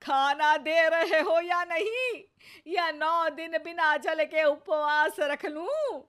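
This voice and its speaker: A woman's voice: extremely high pitch, takes it slowly, fairly bright tone, full character voice. A woman playing a gluttonous, dramatic elderly mother-in-law in a comic cartoon, whining theatrically. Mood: indignant, whining.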